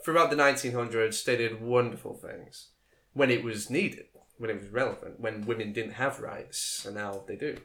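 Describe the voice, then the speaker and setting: A man co-hosting a casual podcast chat, joking around with sudden jumps in pitch and trailing off into quiet asides. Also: normal speed. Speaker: podcast co-host.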